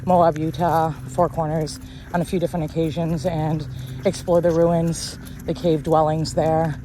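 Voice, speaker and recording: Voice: mid-range voice, little pitch movement, normal speed, noisy background. A person talking casually as a partner in an informal conversation. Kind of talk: casual chat. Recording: music playing behind the voice.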